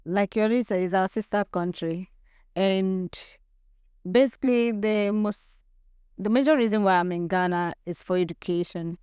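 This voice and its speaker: A young Nigerian woman with a very clear, smooth voice and a Nigerian accent. She talks casually as a guest, ready to spar playfully, at a middle pitch in a dry, close recording. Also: moderate pace, darkish tone, dry recording. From Nigeria, grew up in Lagos. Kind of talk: casual interview chat.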